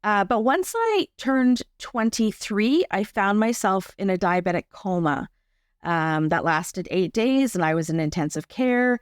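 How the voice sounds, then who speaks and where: A woman's voice: mid-range voice, expressive, measured pace, clear, resonant tone, no echo. Female interview guest, candid and wry, conversational delivery, very dry studio-like sound. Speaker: podcast guest. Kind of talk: conversational personal account.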